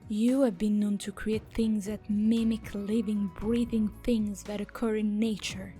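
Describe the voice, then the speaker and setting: Fantasy audio drama: actress for cool goddess, medium pitch, level melody, restrained formal delivery. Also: female voice, level tone, unhurried, dark tone, faint music behind, lightly acted. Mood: cool disapproval. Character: cool, disapproving goddess questioning a rival.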